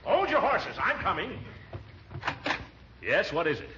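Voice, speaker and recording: An actor playing a pompous, flustered middle-aged uncle who blusters and mutters in a 1940s radio sitcom. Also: male voice, high voice, wildly swooping pitch, unhurried, full character voice. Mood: flustered, grumbling. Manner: blustering, muttering, sputtering with chuckles. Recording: muffled, very noisy 1940s radio recording.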